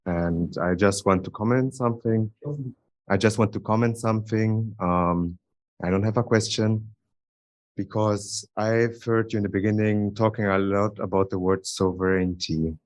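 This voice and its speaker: A man's voice: lowish voice, fairly even intonation, normal speed. A man giving a spoken comment to a lecturer as a member of the audience.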